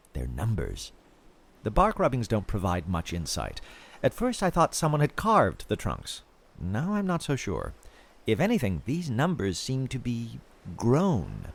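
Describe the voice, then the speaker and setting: Man acting as obsessed male researcher, audio drama monologue, eerie wonder, halting phrases, extremely varied intonation. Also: lowish voice, vocal fry, exaggerated voice. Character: eerily fascinated male field researcher slipping into madness. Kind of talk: audio fiction monologue, recorded field log.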